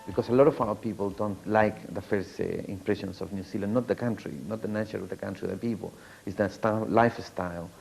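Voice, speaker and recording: A man speaks in a fairly low, gravelly voice, pausing often with fillers and restarts. He sounds like a community organizer in a documentary interview, with faint music under him. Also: fairly even intonation, a little mellow, low-quality recording. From Latin America. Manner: hesitant, many fillers and restarts.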